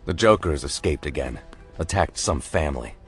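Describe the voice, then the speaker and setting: A man's voice: very deep voice, lively intonation, a little fast, slightly rough, full character voice. A man voicing a weary middle-aged police commissioner who speaks earnestly and insistently. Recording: faint music behind.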